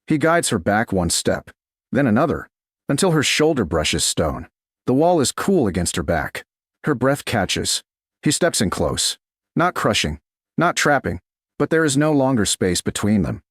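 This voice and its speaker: A man reading scripted romance fiction as an audiobook narrator, in measured, dramatic short phrases. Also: on the low side, big pitch swings, breathy edge, dry recording, clean studio sound.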